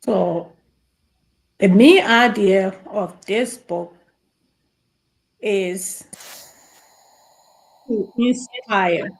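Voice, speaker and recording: A woman with a Liberian accent speaking as an interview guest in a measured, deliberate way with halting phrases. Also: medium pitch, gravelly, poor audio.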